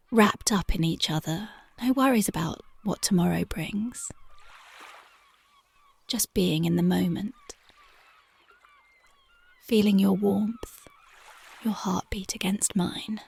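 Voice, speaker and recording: A woman playing a husky, whispering punk girlfriend. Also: medium pitch, slow, long pauses, heavily acted. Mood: tender dreamy. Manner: dreamy, intimate, affectionate. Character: young punk woman, tender devoted girlfriend. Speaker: voice actress. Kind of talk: ASMR roleplay, soft-spoken and whispered. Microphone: close mic.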